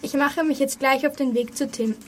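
A troubled orphan girl of thirteen, played by a schoolgirl in an amateur radio play. Her voice is very high and young, with faint noise behind it. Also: fairly even intonation, moderate pace, natural voice. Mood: casual. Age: child pupil, about 8th grade or younger.

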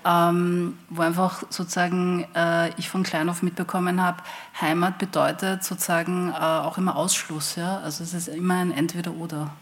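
A woman author talks in a panel discussion. Her voice sits fairly low and stays very flat in melody. She feels her way through sentences, often pausing to find the word. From Austria. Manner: hesitant, searching for words.

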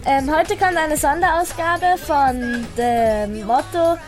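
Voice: high-pitched, slow, music in the background. A child radio presenter introducing a show.

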